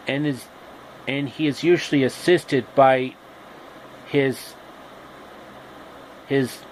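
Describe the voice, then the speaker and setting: A man telling an improvised comic retelling of a fairy tale, haltingly and repeating phrases. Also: mid-range voice, poor audio. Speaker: storyteller. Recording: steady background noise.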